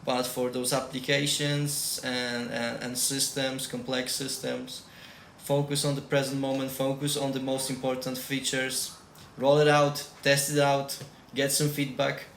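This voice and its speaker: A man's voice: mid-range voice, level tone, measured pace, creaky, a bit bright. A man from Poland with a Polish accent, a tech team lead giving casual interview answers and repeating key advice emphatically. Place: shared room. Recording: echoey room with faint noise.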